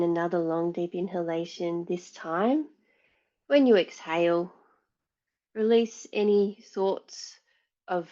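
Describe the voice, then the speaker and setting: A woman teaches yoga in a fairly low voice at a very slow, measured pace. She gives soothing meditation instructions with pauses between phrases. The recording sounds slightly dull and muffled. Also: darkish tone.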